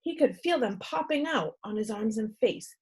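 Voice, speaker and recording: A woman reading a storybook aloud to children in an expressive storyteller's style. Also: fairly high pitch, moderate pace, slightly rough. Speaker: read-aloud host and storyteller.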